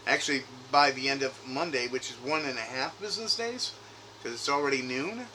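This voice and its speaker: A man's voice: medium pitch, a little fast, pushed and bright. A man talking informally on a phone call as a caller, insistently questioning and often rephrasing as he goes. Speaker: caller, retail investor. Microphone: makeshift recording device grabbed during call. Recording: faint noise, recorded phone call.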